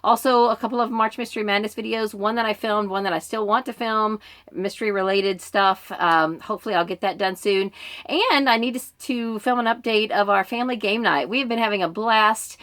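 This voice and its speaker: A woman's voice: mid-range voice, fairly quick, fairly bright tone. A woman chatting informally in a book vlog, meandering through her reading list and often interrupting herself. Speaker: book vlogger.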